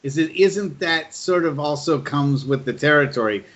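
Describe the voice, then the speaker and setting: A man's voice: on the high side, animated, normal speed, a bit bright. A man hosting a conversational interview, putting questions to a guest. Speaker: podcast host asking questions. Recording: dull, lo-fi call audio.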